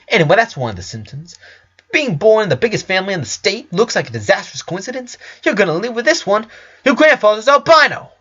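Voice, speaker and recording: A man's voice: high-pitched, very animated, quick pace, pushed and bright, breathy edge, muffled recording, voice pushed up, exaggerated voice. A man voicing a blunt, glib doctor in a story reading, lecturing in a rambling, sarcastic way. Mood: animated, mocking. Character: glib, blunt, morbidly curious male doctor.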